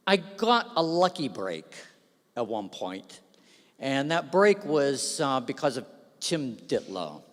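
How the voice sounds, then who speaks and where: A man giving a humorous, self-deprecating speech at an awards ceremony, telling stories with lively asides into a stage microphone. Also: medium pitch, slow pace. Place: large event hall. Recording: long reverb of a large hall.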